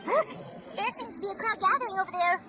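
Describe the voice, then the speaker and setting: A woman voicing a tiny childlike fairy companion in a video game, chirpy and blunt, with a squeaky voice. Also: fast talker, over music. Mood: curious, upbeat. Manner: speaks of herself in the third person.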